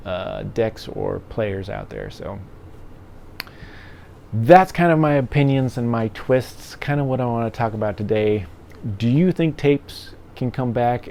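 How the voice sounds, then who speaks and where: A man's voice: lowish voice, warm tone. A middle-aged man giving his opinions in a casual, conversational vlog style, rambling along as a reviewer. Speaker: music gear reviewer and vlogger. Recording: clear background noise.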